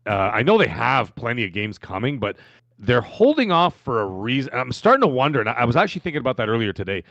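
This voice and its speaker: A Canadian man co-hosting a casual gaming podcast, rambling and animated, with frequent restarts as he tells his story. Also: medium pitch, quick pace, gravelly, no room sound, highs cut off. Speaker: podcast co-host.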